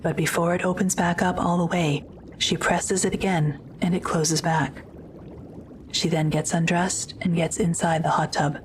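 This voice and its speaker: A female narrator tells a sci-fi audio drama from a script. Her voice sits fairly low, and there is noticeable ambient noise behind her. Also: little pitch movement, normal speed, poor audio.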